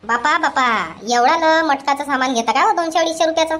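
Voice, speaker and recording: A woman voicing a nagging, scolding old mother-in-law in a cartoon comedy, with a rapid ranting way of talking. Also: high-pitched, a bit bright.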